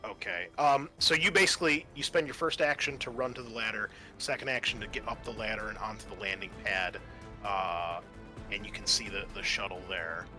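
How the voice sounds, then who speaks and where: A man acting as game master for a tabletop role-playing game, explaining the action and rules in a halting way. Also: on the low side, fairly even intonation, sharp tone, soft background music.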